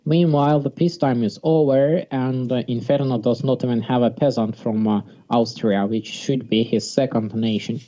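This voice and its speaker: Dull-sounding, mellow man commentating. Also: medium pitch, steady tone, normal speed, hardly any pauses. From Sweden. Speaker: game commentator. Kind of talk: casual live strategy game commentary. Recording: dull lo-fi mic, music behind. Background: music.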